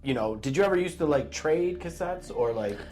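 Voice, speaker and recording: Higher-pitched American man chatting casually. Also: animated, brisk, darkish tone, soft background music. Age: middle-aged. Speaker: podcast co-host. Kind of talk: casual chat.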